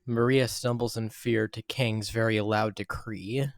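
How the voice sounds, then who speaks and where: A man's voice: lowish voice, fairly even intonation, unhurried, no echo, studio quality. A man reading scripted scene narration for an amateur audio drama.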